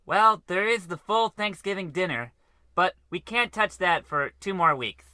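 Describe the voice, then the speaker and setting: Man playing a very bright, sharp-voiced navigator. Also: sits high, a little slow, no echo, full character voice. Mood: dutiful report. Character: dutiful, eager young male spaceship navigator lieutenant. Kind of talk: parody of old radio adventure acting.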